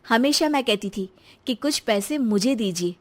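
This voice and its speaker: A woman's voice: a little high, animated, moderate pace. A woman voicing a sensible, thrifty wife in a story, speaking pointedly and reproachfully. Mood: pointed, proud.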